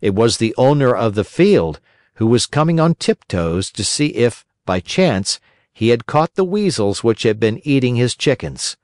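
A man's voice: medium pitch, very animated, unhurried, a little mellow, no room sound, studio quality. A man narrating a children's story as a scripted audiobook.

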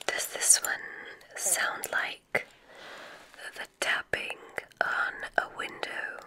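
A woman playing a gentle witch examiner in an ASMR roleplay, whispering in a soothing, deliberate, mysterious way. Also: heavily acted, poor audio. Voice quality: breathy, unvoiced whisper.

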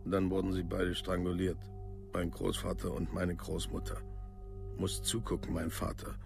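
An actor playing a plain-spoken, worn-down parent in a documentary radio drama, talking in halting, clipped colloquial phrases. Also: male voice, sits low, monotone, creaky, music in the background. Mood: somber, haunted. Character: parent looking back on family hardship, plain-spoken, worn down.

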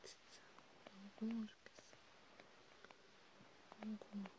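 A woman whispering softly and breathily in a gentle, soothing ASMR roleplay, with pauses and murmured acknowledgements, as if chatting to one listener. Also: mid-range voice, rough recording. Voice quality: whispery, breathy soft speech. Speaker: ASMR creator, host. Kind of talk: ASMR hand-massage roleplay, soft one-sided chat. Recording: clear steady background hiss, ambient noise.

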